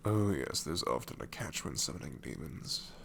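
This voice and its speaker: A man's voice: rumbling low, expressive, normal speed, gravelly, fairly bright tone, slight background noise, exaggerated voice. A man voicing a sassy, married male shadow demon in an ASMR roleplay, talking sarcastically and drawling, often dropping into whispers. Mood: wry.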